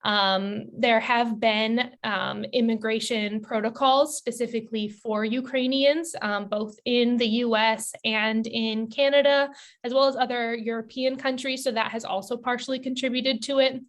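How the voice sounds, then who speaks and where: A woman answering audience questions as a presenter, in a measured, explanatory, careful way with hedges. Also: on the high side, steady tone. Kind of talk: informative Q&A talk, semi-formal.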